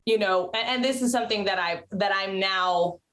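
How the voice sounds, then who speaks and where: A woman's voice: medium pitch, moderate pace, a bit bright. Chatty woman on a video call. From Mexico. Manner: chatty, many fillers and restarts. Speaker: interview guest, actress and writer. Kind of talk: casual video-call interview. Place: indoors at home, curtained room.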